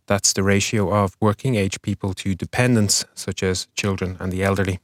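A man hosting a news podcast, reading a scripted review of the year in a measured way. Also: low voice, steady tone, dry recording, clean studio sound.

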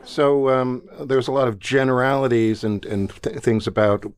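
A man hosting a casual radio chat, relaxed and inquisitive with a wry touch. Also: medium pitch, normal speed, clean tone. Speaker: radio show host.

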